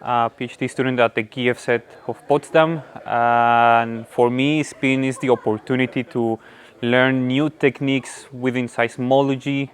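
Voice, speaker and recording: A man's voice: medium pitch, level tone, a little slow. A man, a PhD student introducing himself to the camera. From Colombia. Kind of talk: short self-introduction to camera.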